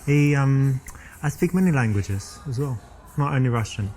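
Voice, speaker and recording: A man's voice: medium pitch, lively intonation, normal speed, a little mellow, close to an everyday voice, poor audio. An actor in his thirties with a British accent playing a boastful, tactless young man rambling on a date. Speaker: game actor and dating coach. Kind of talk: interactive game scene acting.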